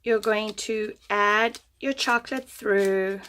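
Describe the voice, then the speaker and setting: A woman's voice: medium pitch, steady tone, slow, pushed and bright, a little hiss or hum. A woman demonstrating a paper craft who is losing her voice, giving chatty step-by-step instructions at her craft table. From United States. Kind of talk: craft tutorial.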